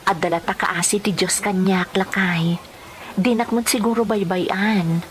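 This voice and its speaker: A woman's voice: medium pitch, steady tone, unhurried, a little hiss or hum, lightly acted. A woman playing a poor, long-suffering wife and domestic helper in an emotional radio drama, speaking plaintively with an Ilocano accent. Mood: earnest pleading. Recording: music playing behind the voice.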